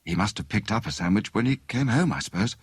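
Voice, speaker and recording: A man's voice: fairly low pitch, big pitch swings, quick pace, husky, dry recording, clean studio sound. A man voicing an anxious, polite, earnest young Englishwoman in an audiobook story. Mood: earnest, troubled. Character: anxious, well-mannered young Englishwoman, tall and steady.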